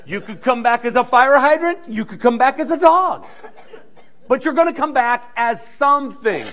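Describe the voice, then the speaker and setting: A man's voice: very high-pitched, moderate pace. A middle-aged male pastor delivering a sermon on a church stage into a handheld mic, emphatic and repeating key phrases as he moves around. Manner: animated, strolling the stage and gesturing.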